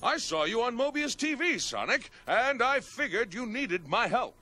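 A man's voice: very high-pitched, sing-song, moderate pace, sharp tone, soft background music, full character voice. A man playing a boastful old raccoon superhero in a cartoon, with a pompous, grandly theatrical delivery. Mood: proud. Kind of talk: mock-heroic cartoon acting.

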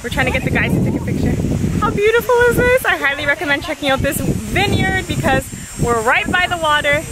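A young woman chatting casually to a handheld vlog camera, excited and gushing. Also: sits high, a little fast, heavy background noise. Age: young adult. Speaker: vlogger. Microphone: handheld camera at arm's length. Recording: loud music over the talk. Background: loud music.

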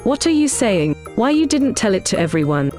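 A woman's voice: medium pitch, sing-song, normal speed, darkish tone, faint music behind, close to an everyday voice. A woman playing an admonishing mother of a foolish son in a children's cartoon, speaking emphatically. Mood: exasperated. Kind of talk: scripted cartoon dialogue.

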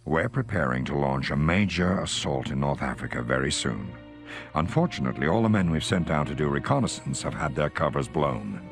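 An actor playing a courteous middle-aged intelligence colonel, giving a formal, measured briefing in a game cutscene. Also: male voice, a bass voice, animated, vocal fry, faint music behind, full character voice. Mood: formal, frank. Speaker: intelligence officer briefing an agent.

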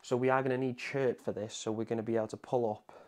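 A man's voice: mid-range voice, little pitch movement, quick pace, darkish tone. A man presenting a casual hands-on tech tutorial, explaining things in an informal, conversational way. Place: indoor room at a home desk.

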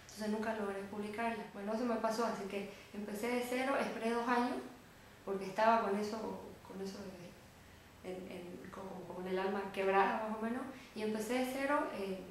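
A woman in her thirties with a Bolivian Spanish accent, giving reflective, somewhat halting interview answers as a writer. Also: medium pitch. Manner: reflective, halting, often seeking confirmation with tag words. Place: small indoor room with bare wall, seated. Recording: very echoey room, faint noise.

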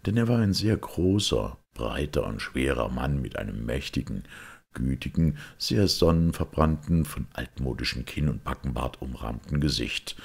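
Very deep, gravelly male storyteller. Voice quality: gravelly, husky. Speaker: audiobook reader. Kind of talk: scripted literary narration of a detective story.

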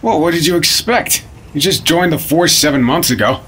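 Man playing a husky, wisecracking agent. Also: on the high side, animated, moderate pace, a bit bright. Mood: wry, teasing. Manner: teasing, wry. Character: wisecracking, grumbling senior FBI partner, 1950s. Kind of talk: radio drama acting.

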